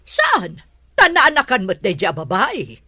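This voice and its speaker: A woman's voice: medium pitch, huge pitch swings, a little slow, sharp tone, no room sound, heavily acted. A woman playing a stern, scornful middle-aged mother in an Ilocano audio drama. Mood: indignant. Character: stern, disapproving middle-aged mother, judgmental and sharp-tongued.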